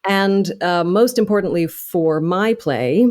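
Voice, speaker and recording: Woman with a pretty low voice, telling stories in an interview, articulate and really into it. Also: slow pace, darkish tone. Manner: articulate, passionate, detailed explaining. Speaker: interview guest, actor and author. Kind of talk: conversational interview, storytelling.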